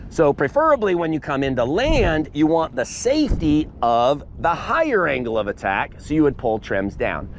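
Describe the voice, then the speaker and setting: A man's voice: on the high side, big pitch swings, moderate pace, hardly any pauses, slight background noise. A man instructing a paramotor class outdoors on a sandy beach, explaining gear emphatically with punchy asides, recorded on a head-mounted camera. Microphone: head-mounted camera mic.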